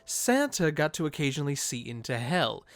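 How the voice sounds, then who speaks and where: Animated, higher-pitched man narrating. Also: moderate pace. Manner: playful, conversational asides. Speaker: narrator of fan theory video. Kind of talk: animated, informal video essay commentary.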